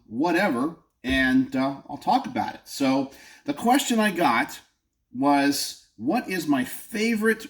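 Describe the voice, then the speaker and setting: A man with a nasal voice hosting his channel, talking casually and off the cuff into his phone in a home garage, with frequent ums and asides. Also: medium pitch. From Texas. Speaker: channel host. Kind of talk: casual vlog talk. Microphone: phone camera.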